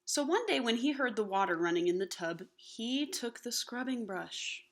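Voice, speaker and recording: A woman reading a children's picture book aloud expressively, animated and slipping in hushed asides to the listeners. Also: a little high, normal speed, a little hiss or hum. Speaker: storybook reader.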